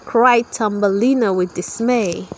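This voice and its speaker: A woman's voice: mid-range voice, a little slow, a little mellow, low-quality recording. A woman narrating a children's storybook, reading a scripted tale aloud for toddlers.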